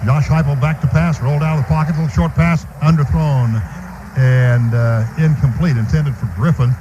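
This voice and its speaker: Male American radio football announcer, slightly high voice, clear stadium noise behind. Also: steady tone, moderate pace, muffled recording. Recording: noisy live stadium radio broadcast. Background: loud stadium ambience.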